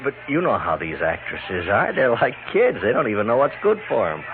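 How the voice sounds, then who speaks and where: A man's voice: medium pitch, wildly swooping pitch, fairly quick, vocal fry. A man playing a jealous, controlling business manager with a pushy, folksy way of talking, in a 1950s radio western. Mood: placating, evasive. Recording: noisy, narrow-band 1950s radio recording.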